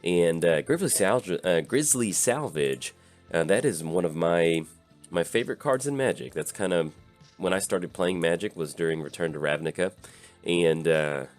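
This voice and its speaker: Give me a deep-voiced, tired man with a slight rasp, casually talking through his card game turns. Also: expressive, soft background music. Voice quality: slightly raspy. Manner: easygoing, thinks aloud with many filler pauses. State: tired from little sleep. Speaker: channel host. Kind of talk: casual gameplay commentary.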